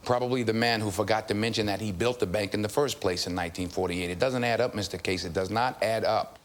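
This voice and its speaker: An actor playing a police detective who presses with sardonic questions in a film scene. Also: male voice, lowish voice, fast, echoey room, natural voice. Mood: pressing. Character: probing male police detective. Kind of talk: film dialogue.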